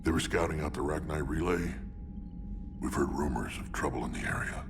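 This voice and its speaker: A battle-hardened alien warlord from a video game, with a very low, gravel-filled growl. He is blunt, proud and sardonic. The sound is a little distant, over clear background ship noise. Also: brisk. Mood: grim, urgent. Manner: blunt, sardonic, weighty delivery. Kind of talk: video game character acting.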